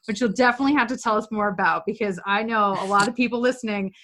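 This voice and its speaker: A woman's voice: mid-range voice, fast, fairly bright tone. A woman hosting a podcast interview, chatty and upbeat, in her thirties. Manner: chatty, upbeat, restarts words. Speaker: podcast host.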